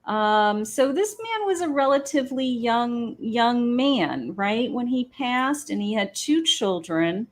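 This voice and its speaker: A woman hosting a live psychic reading from her patio, talking slowly with many pauses and fillers as she thinks aloud. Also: fairly high pitch, animated. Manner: slow, hesitant, many ums, thinking aloud. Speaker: psychic medium host. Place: home patio.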